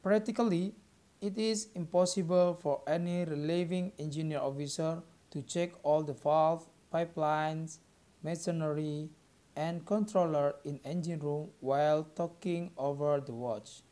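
Young indonesian guy reading out his class presentation, real slow and halting, soft mellow tone. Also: on the high side, fairly even intonation. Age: young adult. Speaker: maritime student presenting. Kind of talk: read-aloud scripted presentation.

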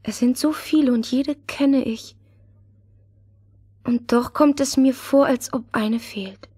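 Little fairy-tale girl, highish voice, slow pace, earnest wonder, dry sound. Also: warm tone, husky, no room sound, exaggerated voice. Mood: wistful, puzzled. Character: gentle little girl searching for her lost friend. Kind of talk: fairy-tale audio drama acting.

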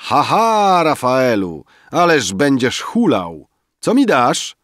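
Audiobook courtesan, played by a man: female, greedy, wheedling, gushing, high pitch, dry close recording. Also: huge pitch swings, slow, fairly bright tone, no echo, heavily acted. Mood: teasing. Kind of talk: dramatized audiobook dialogue.

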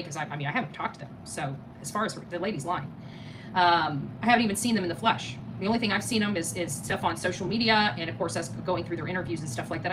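A middle-aged American woman giving casual livestream commentary and chatting with viewers in an emphatic, indignant, repetitive way. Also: fairly low pitch. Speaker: live stream host. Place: small living room, indoors. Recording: clearly noisy home phone recording.

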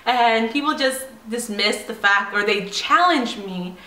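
A woman of twenty-five from San Diego, talking casually to the camera at home in an animated, chatty way. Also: on the high side, measured pace, reverberant, poor audio. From San Diego, United States. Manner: animated and chatty, laughing often. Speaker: vlogger. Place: indoor home room by a staircase.

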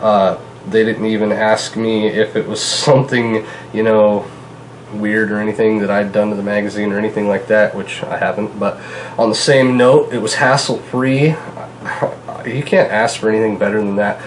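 A man in his thirties with a fairly low voice talks casually to his viewers from a home kitchen, his nose stuffed up from a cold. He rambles in a relaxed way, full of "uh" and "you know", recorded by a camera across the table with a faint hum of room ambience behind him. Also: normal speed, rough recording. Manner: relaxed, chatty, many fillers. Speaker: gun channel host. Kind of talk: casual product vlog.

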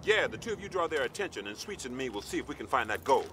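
An actor playing a gruff, weary army sergeant in a video game, curt and commanding with his squad. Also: medium pitch, very animated, fast talker, lightly acted. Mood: grim, resigned. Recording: loud battle and vehicle noise behind.

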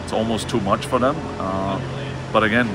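A man in his forties with a German accent, a hockey coach answering postgame questions in halting speech full of fillers and restarts. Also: fairly low pitch, fairly even intonation, loud music behind the voice. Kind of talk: postgame press interview. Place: arena hallway, indoors. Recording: loud background noise.

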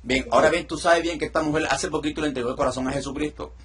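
A middle-aged man leading a deliverance session over a video call, giving calm commands and explaining each step. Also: on the high side, very fast. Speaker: deliverance minister. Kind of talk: ministry session with teaching asides. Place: indoor room.

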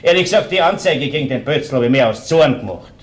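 An actor with an Austrian accent playing an evasive, halting but conciliatory butcher shop owner in a radio crime play. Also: male voice, medium pitch, expressive, natural voice.

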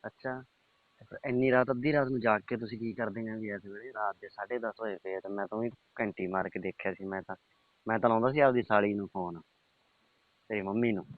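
A man's voice: fairly low pitch, steady tone, fast, dry recording. A middle-aged man, having a casual private chat over the telephone. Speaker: uncle on a phone call. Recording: tinny, band-limited phone call recording.

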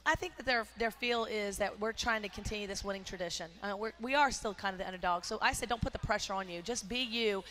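A middle-aged woman, a basketball coach, talking in a postgame interview on a headset mic at courtside, energetic and emphatic with many fillers. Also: mid-range voice, fast, few pauses, slight background noise. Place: courtside in an indoor arena.

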